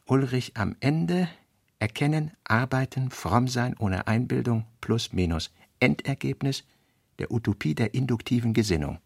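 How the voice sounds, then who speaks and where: Male narrator, mid-pitched with creaky grain, dry studio sound, measured delivery of literary fragments. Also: no echo. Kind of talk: literary radio drama narration, reading fragmentary notes.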